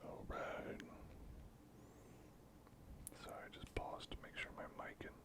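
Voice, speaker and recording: A man speaking in a breathy, unvoiced whisper, giving casual, unhurried ASMR commentary as he works and thinks aloud in halting phrases. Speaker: host of a miniature painting channel.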